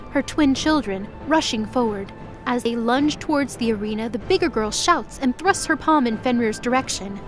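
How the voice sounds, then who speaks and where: Female narrator: fairly high register, dramatic scripted storytelling, music underneath.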